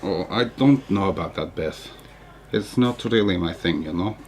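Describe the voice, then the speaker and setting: A man plays a foreign fiancé in a radio drama, reserved and evasive. His voice sits on the low side. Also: normal speed, darkish tone, lightly acted. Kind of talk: radio play acting.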